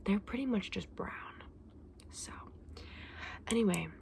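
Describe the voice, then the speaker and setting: A woman playing a kindly, chatty fantasy saleswoman in an ASMR roleplay, mixing breathy whispers with soft, gentle, unhurried speech. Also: mid-range voice, slight background noise. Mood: soft, informative. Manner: gentle, unhurried, hesitant, endearing customer address. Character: kindly, chatty saleswoman in a dragon egg shop.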